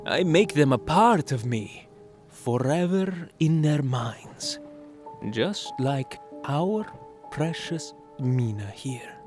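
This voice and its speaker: Guy doing a slow sneering vampire lord, kinda high smooth voice, music under it. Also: animated. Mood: disdainful. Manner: silky, taunting, slow and theatrical. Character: ageless, mocking male vampire lord. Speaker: villain. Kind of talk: audio drama acting. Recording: music score behind the voice.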